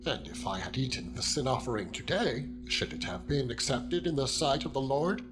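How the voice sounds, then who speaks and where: A man's voice: medium pitch, very animated, moderate pace, close to an everyday voice. A man playing an earnest, grieving male priest who pleads formally in a dramatized scripture reading. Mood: pleading. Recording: loud music bed under the voice.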